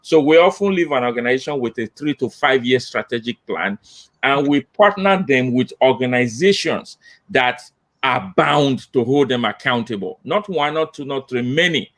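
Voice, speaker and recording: A man's voice: medium pitch, normal speed. A middle-aged man, a diversity consultant, talking emphatically on a video call from a home office, repeating points for emphasis. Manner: animated, gestures with hands, emphatic repetition. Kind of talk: panel discussion. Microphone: laptop webcam on video call.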